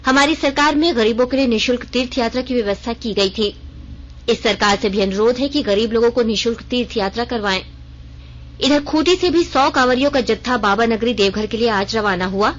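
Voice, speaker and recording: A woman presenting a regional radio news bulletin, reading a script in a formal, measured newsreader manner. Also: fairly high pitch. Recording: dull, band-limited radio recording.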